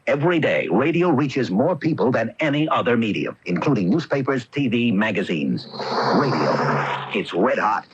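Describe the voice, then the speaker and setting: Man, ad announcer, gravel-husky, emphatic short phrases, dry studio sound. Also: medium pitch, big pitch swings, normal speed, no room sound, muffled recording. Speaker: advertising announcer. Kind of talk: scripted radio promotional spot.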